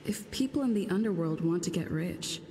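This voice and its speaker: A woman's voice: on the low side, lively intonation, brisk, warm tone, slight background noise, lightly acted. A woman voicing a cool, observant investigator in a video game, analytical and inquiring. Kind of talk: video game character acting.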